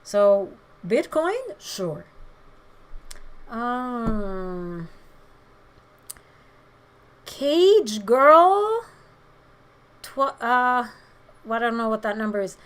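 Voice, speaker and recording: A woman with a hoarse voice, chatting frankly with viewers during a livestream and giving her opinions with many pauses. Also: a little high, very animated, fairly bright tone. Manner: frank, opinionated, hesitant fillers. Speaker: livestream host. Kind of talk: casual chat answering viewer comments.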